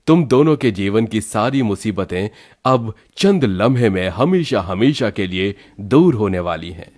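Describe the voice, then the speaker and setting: A man voicing a benevolent magical prince in a cartoon story, calm, assured and formal. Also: lowish voice, a little slow, no room sound, clean studio sound. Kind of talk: Hindi cartoon story acting.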